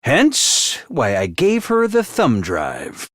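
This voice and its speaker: A man voicing a small, bossy male robot commander in a video game, theatrical and self-important, prone to shouting. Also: wildly swooping pitch, slow pace, dry recording, clean studio sound, exaggerated voice. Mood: scheming. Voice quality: squeaky, over-the-top. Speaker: voice actor. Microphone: dry studio mic.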